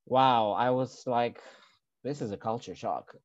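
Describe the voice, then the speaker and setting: A man with a Greek accent, a musician guest speaking English as a second language, halting with many fillers and frequent laughs. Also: mid-range voice, no room sound, muffled recording. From Greece. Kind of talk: casual interview.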